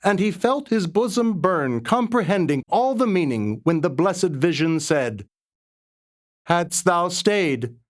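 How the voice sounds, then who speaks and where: Slow, solemn man reciting poetry. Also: high voice, lively intonation. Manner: solemn, reverent, deliberate phrasing with pauses. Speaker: poetry reader. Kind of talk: dramatic, measured poetry recitation.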